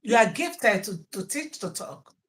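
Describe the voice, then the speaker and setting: A woman's voice: medium pitch, lively intonation, measured pace. Nigerian lady teacher, yoruba native, talks on and on, repeats herself a lot. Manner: talkative, repeats words, stops to restart. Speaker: interviewee, teacher. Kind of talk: interview answers.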